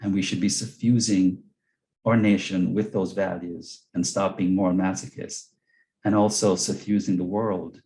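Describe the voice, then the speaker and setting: A man's voice: deep voice, little pitch movement, unhurried, dark tone, a little hiss or hum. A man from Jamaica with a Jamaican accent, an earnest guest speaker on a video call who repeats words as he searches for them. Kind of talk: webinar talk and Q&A answers.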